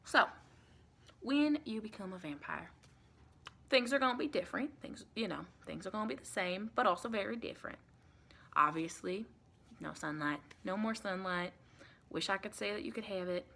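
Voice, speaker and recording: Friendly female vampire mentor, acted by a woman, ASMR roleplay. Bright-toned, soft-spoken, folksy, colloquial and reassuring. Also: medium pitch, expressive, moderate pace, creaky, long pauses, full character voice. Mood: chatty, matter-of-fact. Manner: chatty, reassuring, colloquial, rambling. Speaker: ASMR performer.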